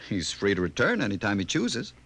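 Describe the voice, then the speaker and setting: An actor playing a smooth, domineering suitor and official in a 1950s radio drama, formal and condescending. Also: male voice, lowish voice, wildly swooping pitch, fairly quick, a bit bright, heavily acted. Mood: evasive. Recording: old-time 1950 radio recording.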